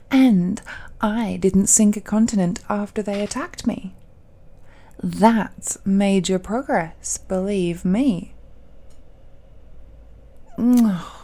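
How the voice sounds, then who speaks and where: A woman's voice: mid-range voice, mellow. A woman voicing an ancient, possessive villainess in an intimate audio roleplay, teasing and condescending with smug, doting airs. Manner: languid, condescending, teasing, with sighs and hums.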